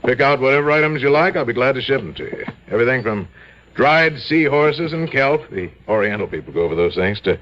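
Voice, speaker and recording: An actor playing a polite, cagey import dealer in a 1950s radio drama, with smooth salesman patter. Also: medium pitch, lively intonation, fairly quick, fairly bright tone, natural voice. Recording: dull lo-fi old radio broadcast with faint hiss.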